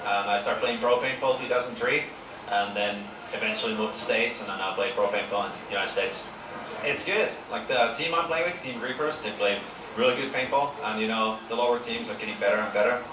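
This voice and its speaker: A man's voice: on the low side, a little fast. A man from Finland, a pro paintball player talking simply and casually in an interview. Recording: echoey, far from the mic, with noise.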